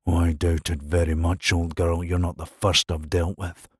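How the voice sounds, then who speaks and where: A man's voice: low-pitched, little pitch movement, moderate pace, husky, dry recording, voice pushed down. A man voicing a scornful middle-aged man who speaks in a sneering, condescending way.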